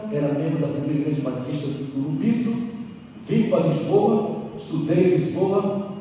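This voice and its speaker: A man's voice: fairly high pitch, animated, normal speed, warm tone. A man in his sixties from Angola with a Brazilian accent, a pastor teaching at a seminar in a conversational way, telling personal anecdotes and addressing listeners directly. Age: 60s. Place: church hall, before an audience. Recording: echoey hall, distant mic, faint noise.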